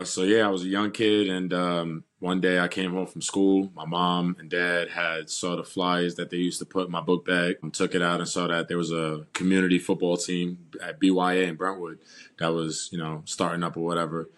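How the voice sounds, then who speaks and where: A young man from New York talks about his football career in a calm, steady way. His voice is very deep and stays flat and even in pitch. He answers at an unhurried, relaxed pace. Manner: calm, steady storytelling with "uh" and "you know". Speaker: football player, guest. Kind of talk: casual interview answers.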